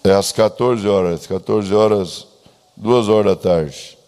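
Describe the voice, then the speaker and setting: Slow Brazilian preacher in an echoing hall. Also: male voice, on the low side, a little mellow. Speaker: pastor. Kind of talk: church sermon with a read-out letter. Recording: long echoing hall reverb.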